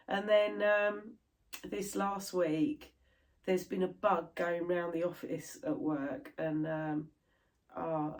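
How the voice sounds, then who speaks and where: A middle-aged British woman chatting casually to camera about her crafts, sounding stuffy and a little croaky from a chest infection. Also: low voice, darkish tone. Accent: British English. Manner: chatty, rambling, laughs easily. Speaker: cross stitch vlogger.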